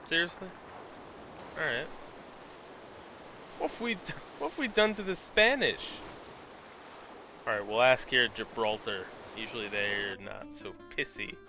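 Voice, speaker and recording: A man's voice: mid-range voice, expressive, a little slow, pauses often, pushed and bright. A man casually commentating a video game he is playing, joking and reading game text aloud in silly voices. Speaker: let's play commentator. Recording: game music behind the voice.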